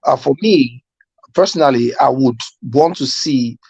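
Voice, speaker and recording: A man from Nigeria calling in to give his opinion, speaking in a measured way with many fillers. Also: medium pitch, gravelly, a little mellow, slightly rough, no room sound, muffled recording. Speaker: caller. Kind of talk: call-in opinion.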